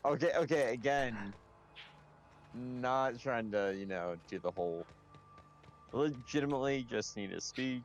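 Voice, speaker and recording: A man's voice: medium pitch, a little slow, long pauses, soft background music. A man chatting casually with friends over gaming voice chat, sweary and exasperated.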